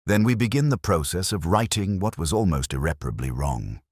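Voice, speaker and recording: Guy doing a very deep victorian detective, stern n logical, formal, voice moves around a lot, dry close sound. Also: very animated, slightly rough, no echo, exaggerated voice. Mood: measured, stern. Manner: measured, formal, certain.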